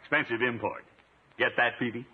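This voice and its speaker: A man's voice: mid-range voice, a little fast, close to an everyday voice. An actor playing a genial, pompous middle-aged man in a 1950s radio comedy, chuckling, exclaiming and easily flustered. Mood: hearty, chummy. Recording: scratchy, tinny 1950s radio recording.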